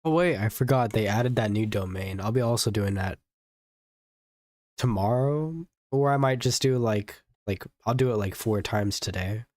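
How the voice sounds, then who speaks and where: A man streaming a game, talking casually in a rambling, halting way. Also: on the low side, a little mellow, no room sound. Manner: rambling, halting, repeats words. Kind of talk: casual gameplay commentary.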